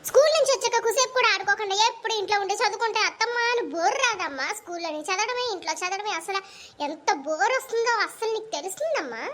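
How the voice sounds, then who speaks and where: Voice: very high voice, lively intonation, quick pace, pushed and bright, exaggerated voice. A performer voicing a cheeky, argumentative little schoolboy in a comedy cartoon, with a Telugu accent and a mock-innocent manner. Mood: whining protest.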